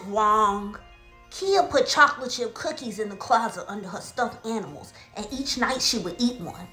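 A woman playing a mischievous little girl in a comedy skit, telling tall tales in a theatrical, drawn-out way. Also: medium pitch, animated, pushed and bright. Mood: spooky storytelling. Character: mischievous little girl Coco, cookie-loving tall-tale teller. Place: small bedroom, indoors. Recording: roomy echo, faint music behind.

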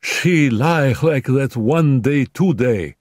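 A man's voice: mid-range voice, animated, slow, darkish tone, dry recording, clean studio sound, voice pushed up. A man voicing a frightened, indignant young maid who speaks broken English, in an audiobook reading. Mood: frightened, insistent. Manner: broken English, urgent pleading.

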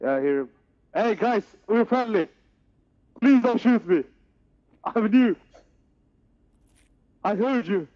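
A man's voice: high voice, lively intonation, slow pace, pauses often, warm tone, highs cut off. A young man from Sweden talking casually in an online game's voice chat through a gaming headset. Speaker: player in an online game.

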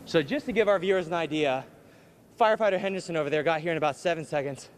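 Young man, field reporter, upbeat, fairly high voice, long echo of a big garage, faint noise behind. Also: fast. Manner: upbeat, exclaims often. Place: large fire station garage. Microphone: handheld mic. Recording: echoey garage with background noise.

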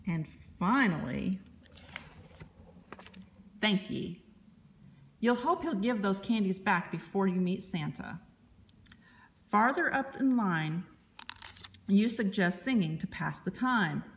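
Expressive woman storyteller, noisy recording. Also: mid-range voice, unhurried. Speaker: children's librarian, storyteller. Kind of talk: read-aloud picture-book narration.

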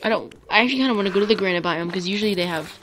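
A woman casually commentating her own gameplay for viewers, chatty and quick to get excited. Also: medium pitch, a little hiss or hum. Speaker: gaming YouTuber. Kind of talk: let's play commentary.

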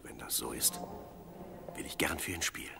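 An actor playing a modest young shepherd musician, polite and earnest, in a Bible audio drama. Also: male voice, very deep voice, huge pitch swings, normal speed, vocal fry, sharp tone, slight background noise, full character voice. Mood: quiet, willing. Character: young shepherd harpist, modest, eager to please.

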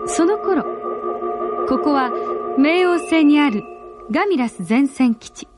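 A woman's voice: high-pitched, animated, slow pace, over music. A woman narrating a 1970s space radio drama in an earnest, suspenseful, dramatic storytelling manner. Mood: solemn, stirring. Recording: very noisy old radio drama recording.

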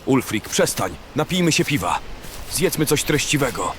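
A man's voice: mid-range voice, very animated, normal speed, close to an everyday voice. A man playing a brash, callous and coarse bandit in a fantasy audio drama. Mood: irritated. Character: brash, callous, coarse male bandit who loves food. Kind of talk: fantasy radio drama acting. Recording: clearly noisy background ambience.

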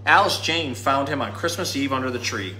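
Male zookeeper presenter, thirties, informal and explanatory, bright forward voice, roomy sound. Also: mid-range voice, animated, a little fast, slight background noise. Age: 30s. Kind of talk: casual educational talk and storybook reading. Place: small indoor room at a pet store. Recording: echoey room.

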